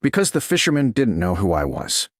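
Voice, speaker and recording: A composed, gentle servant woman from a romance story , read by a man with a low voice. She speaks softly in measured lines with lively intonation and a very dry sound. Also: no room sound. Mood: quiet, steady. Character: calm, gentle woman, duke's lost love turned servant.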